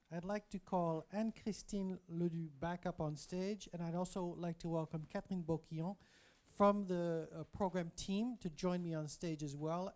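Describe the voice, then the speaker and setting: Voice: medium pitch, a little mellow. A ceremony host calling people to the stage with polite, organized announcements.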